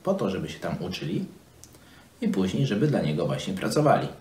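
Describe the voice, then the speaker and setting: A man speaks in a low voice that moves up and down in a lively way. He explains things simply, as a storyteller for children, with halts along the way. The room around him echoes clearly. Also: a little hiss or hum. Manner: halting, simply worded, explanatory. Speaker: presenter telling a Bible story to children. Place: small office, seated at desk. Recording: roomy indoor echo.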